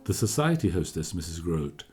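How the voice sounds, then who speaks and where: Low, mellow radio-play narrator. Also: male voice, big pitch swings, normal speed. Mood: measured, confiding. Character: discreetly gossiping male narrator recounting a composer's history. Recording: studio radio play with music behind. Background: music.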